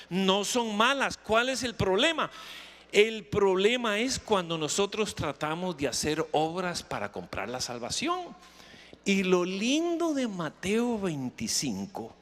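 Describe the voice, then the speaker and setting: A man with a Costa Rican accent delivers a sermon in a long, ringing hall reverb. His fairly high voice moves in wide, lively swings as he repeats phrases and questions the congregation emphatically. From Costa Rica. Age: middle-aged or older. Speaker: pastor preaching. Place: camp meeting hall with congregation.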